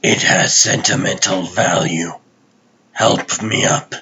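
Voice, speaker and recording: Dude doing gruff deadpan superhero vigilante, low raspy kinda quiet, short dry answers. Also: male voice, takes it slowly, exaggerated voice. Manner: terse, deadpan.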